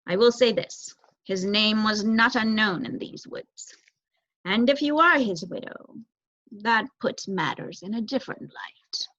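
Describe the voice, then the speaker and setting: A woman's voice: medium pitch, a bit bright, no echo. Woman voicing a grave, formal owl, muffled recording. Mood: intent, deferential. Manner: formal, measured, pronouncing. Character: grave, formal old male owl, certain and blunt. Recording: dull, lo-fi close recording.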